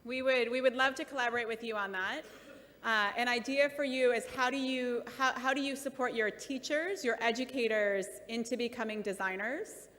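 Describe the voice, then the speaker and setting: A woman keynote speaker answering audience questions in a measured, encouraging way at a conference. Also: fairly high pitch, fairly bright tone. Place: large conference hall. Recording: reverberant hall, faint noise.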